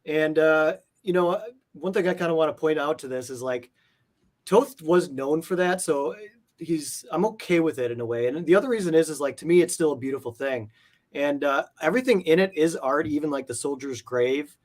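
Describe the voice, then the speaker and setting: A man's voice: fairly high pitch, fairly quick, a little mellow. A man speaking casually as an interview guest, explaining things in a hesitant, circling way. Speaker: guest, comic art collector.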